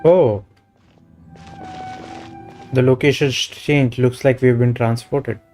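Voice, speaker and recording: A woman's voice: very deep voice, steady tone, slow pace, dark tone. A woman with an Indian accent streaming a game, reading dialogue aloud and commenting casually in mixed Hindi and English. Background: game music.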